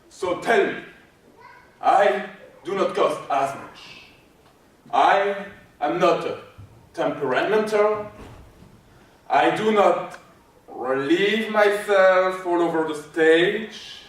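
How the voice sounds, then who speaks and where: Casting: man playing an indignant show-off circus artiste, high male voice, slow pompous delivery, echoing stage. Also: expressive, exaggerated voice. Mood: haughty, indignant. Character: haughty, indignant circus performer jealous of elephant. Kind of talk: theatrical monologue, readers theater. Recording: very echoey stage from far off.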